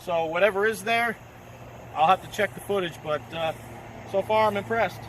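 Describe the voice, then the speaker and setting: A man's voice: high-pitched, normal speed, a bit bright. A man casually talking through a firearm test outdoors at night, plainspoken and thinking aloud. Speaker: gun reviewer filming a test. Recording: noisy outdoor night recording.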